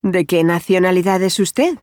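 An actress playing a friendly adult woman who works at a bank, speaking clearly and without hurry in language-course dialogues. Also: on the low side, normal speed, darkish tone, no room sound, studio quality, lightly acted. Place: dry studio.

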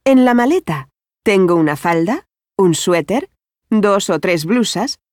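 An actress playing a friendly adult woman who works at a bank, speaking clearly and without hurry in language-course dialogues. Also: mid-range voice, animated, measured pace, a little mellow, dry recording, clean studio sound, lightly acted. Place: dry studio.

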